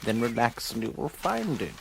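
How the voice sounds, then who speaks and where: An actor playing an eccentric upper-class gentleman adventurer, jovial and by turns commanding and offhand, in a radio drama. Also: mid-range voice, sing-song, moderate pace, gravelly, slight background noise. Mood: breezy, unruffled.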